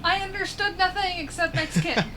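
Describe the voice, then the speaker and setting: A young woman with a high voice chats with a friend on a stream. She teases him and laughs easily. Noise hisses under her voice. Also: animated, fairly quick. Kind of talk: casual chat.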